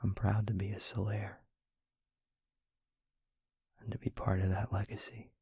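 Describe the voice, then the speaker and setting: A man playing a gentle, devoted vampire boyfriend in an intimate audio roleplay, speaking earnestly and haltingly in a breathy, husky near-whisper. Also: fairly low pitch, flat delivery, no echo, exaggerated voice. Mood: hesitant, confessional. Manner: slow, halting, tender reassurance.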